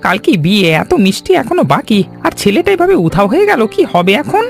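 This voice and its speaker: Cartoon village confectioner dad, male actor, creaky voice, hugely expressive swings, humble and devoted, music underneath. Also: very high voice, fast, exaggerated voice. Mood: worried. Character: humble, devoted village sweet-maker father, anxious about work. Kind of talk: animated story dialogue. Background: background music.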